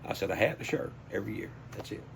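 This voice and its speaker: A man's voice: lowish voice, expressive, very fast, gravelly, fairly bright tone, slight background noise. An older man with a Southern US accent, telling stories in a casual, folksy way as a sports announcer being interviewed. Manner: folksy, anecdotal. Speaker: high school sports PA announcer.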